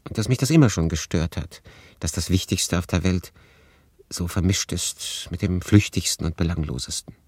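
A brooding refugee telling his own story in a radio drama. The voice is low and husky, melancholy, detached and understated. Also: normal speed, darkish tone, dry recording. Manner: pensive, understated. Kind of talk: first-person radio drama narration.

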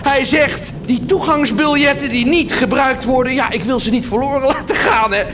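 A high-pitched, wheedling monk huckster. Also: male voice, normal speed, noisy background. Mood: jovial, cajoling. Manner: theatrical, cajoling sales patter, punctuated with laughs. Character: wheedling monk huckster selling indulgences to peasants. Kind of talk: radio drama acting.

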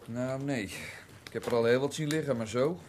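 A man's voice: mid-range voice, normal speed, darkish tone, slight background noise, natural voice. A man acting in a radio drama as a jaunty, wisecracking police photographer, chatty and dry.

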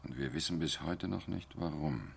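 A probing male questioner in a German radio drama. His voice is extremely deep with gravelly creak. Faint noise and clicks lie underneath. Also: moderate pace, full character voice.

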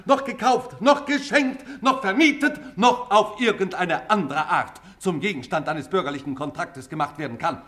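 Casting: an insistent, long-winded assessor for radio drama, high-pitched and piercing, declaiming like a pedant. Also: male voice, sharp tone. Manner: declaiming legal formulas. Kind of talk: German radio play acting.

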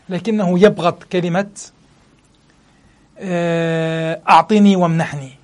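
A man with a high voice gives a religious lesson on a classical poem. He preaches with fervor, piles up rhetorical questions and slows down to recite each verse. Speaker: Islamic scholar teaching a classical poem. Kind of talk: religious lesson explaining poetry.

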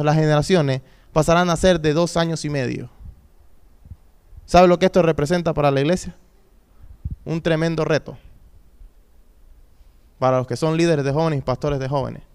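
Male youth pastor, thirties, high smooth voice, preaching with repetition and call-and-response. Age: 30s. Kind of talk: sermon.

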